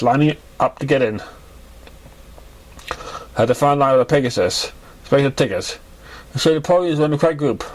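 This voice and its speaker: Male amateur storyteller, average pitch, reading scripted narrative prose. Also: a little mellow.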